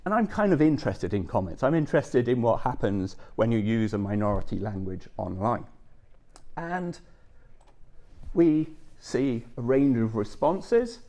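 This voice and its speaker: A man's voice: mid-range voice, lively intonation, a little slow, warm tone. Man giving a chatty academic talk. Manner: conversational, checks in with frequent "okay" and "yeah". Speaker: academic presenter. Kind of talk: conference talk.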